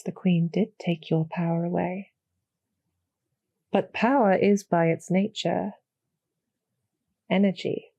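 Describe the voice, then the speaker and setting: A woman voicing a calm, knowing succubus demoness in an intimate audio roleplay, speaking in a measured, softly persuasive way with a low, breathy, gravelly voice. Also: no echo, lo-fi sound, exaggerated voice. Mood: solemn, coaxing. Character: calm, knowing demoness succubus archer, former hero, loyal to her queen.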